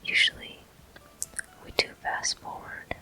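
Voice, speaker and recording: A person whispering soft, breathy, unhurried commentary in short phrases while playing a video game for ASMR viewers. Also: soft background music. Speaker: video game player and host.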